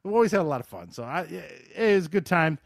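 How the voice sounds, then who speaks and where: A middle-aged man hosting a podcast, telling casual anecdotes in an animated, excitable way with some swearing. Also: on the high side, fast talker, creaky, dry recording, clean studio sound. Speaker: podcast host.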